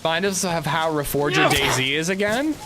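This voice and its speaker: A man's voice: fairly high pitch, normal speed, heavy background noise. A man streaming a video game, chatting casually with his chat in a rambling, chatty way and swearing freely. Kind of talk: casual livestream chat while gaming.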